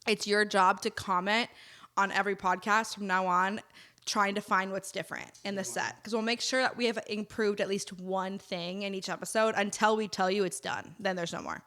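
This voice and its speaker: A woman co-hosting a laid-back podcast from a home set. She talks in a relaxed, conversational way, dry and teasing. Her voice sits at an ordinary pitch for a woman. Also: moderate pace. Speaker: podcast co-host. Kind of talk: casual chat.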